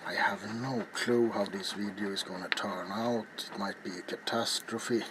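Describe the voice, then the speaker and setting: A middle-aged man narrating a craft in a soft-spoken, hesitant ASMR style that slips into whispers. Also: on the low side, steady tone, normal speed. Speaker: ASMR video maker. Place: small cluttered indoor home workroom. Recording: clear background room noise.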